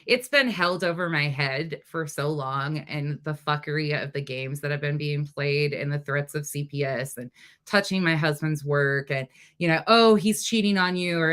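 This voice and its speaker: A middle-aged woman from North Dakota with a light North Dakota accent, hosting a casual livestream and talking bluntly with plenty of swearing. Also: low-pitched. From North Dakota, USA. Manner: blunt, profane and animated. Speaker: livestream host. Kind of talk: casual livestream chat reading comments.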